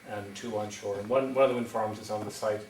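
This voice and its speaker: A man's voice: lowish voice, fairly even intonation, fast, a little mellow, slight background noise. A middle-aged man with an Irish accent from County Wexford, giving a hesitant public presentation at a town meeting, full of ums and restarts. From Ireland. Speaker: wind energy developer presenting at a town meeting. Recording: echoey hall recorded from far off.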